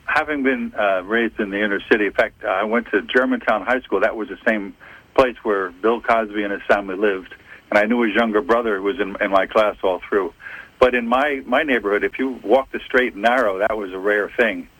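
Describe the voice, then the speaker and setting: An older man from Philadelphia recounts his career in a measured, self-correcting way. His low voice is husky yet forceful. It sounds dry and close, with no room sound. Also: no echo, poor audio. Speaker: retired FBI agent, private investigator. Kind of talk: interview answers, recounting career.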